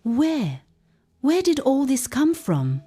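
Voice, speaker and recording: A woman's voice: high-pitched, huge pitch swings, takes it slowly, mellow, voice pushed up, heavily acted. A woman voicing a tender, awestruck village mother in a folktale, with an emotional, wondering delivery. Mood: disbelief. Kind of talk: folktale storytelling.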